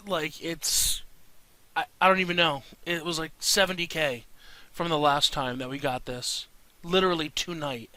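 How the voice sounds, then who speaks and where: A man's voice: on the high side, bright, forceful tone. A man giving casual gameplay commentary in a rambling, informal way, as a video creator talking to viewers.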